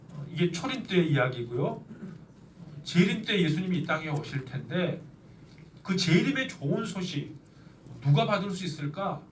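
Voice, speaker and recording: Nasal-voiced pastor with a cold. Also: male voice, fairly high pitch, slight background noise. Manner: earnest, with slow emphatic stretches. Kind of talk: sermon. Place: church sanctuary. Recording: echoey church, mic at a distance.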